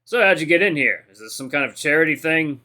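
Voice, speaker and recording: Casting: male actor for a massive battle-scarred dinosaur prizefighter, booming, condescending, slangy, bright forceful delivery in a game. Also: expressive, fast talker. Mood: amused, condescending. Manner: mocking, booming, casual slangy talk. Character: huge scarred dinosaur veteran fighter, amused and gruff.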